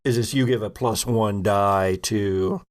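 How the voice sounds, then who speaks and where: A man narrating a tabletop game as game master in a casual, halting way, thinking aloud as he goes. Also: on the low side, steady tone.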